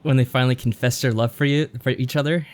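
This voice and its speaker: A man's voice: mid-range voice, steady tone, fast talker. A man co-hosting a podcast in a casual, conversational style. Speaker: podcast co-host. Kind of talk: casual chat.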